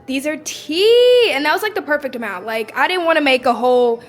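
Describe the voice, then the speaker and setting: A woman's voice: sits high, lively intonation, brisk, faint music behind. A woman vlogging in casual chat, talking from a car and filling her sentences with "like". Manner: chatty, many "like" fillers. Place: car on the way to a show.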